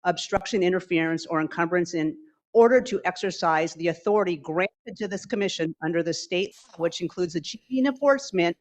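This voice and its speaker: A woman with a loud voice, speaking as a public commenter at a meeting and reading a formal resolution aloud at a brisk pace. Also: fairly low pitch, poor audio. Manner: self-described loud voice.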